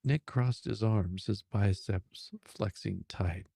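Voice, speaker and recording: A man's voice: fairly low pitch, takes it slowly, creaky, dark tone, no echo, studio quality. A man narrating a romance story in a dramatic, suspenseful, sultry style.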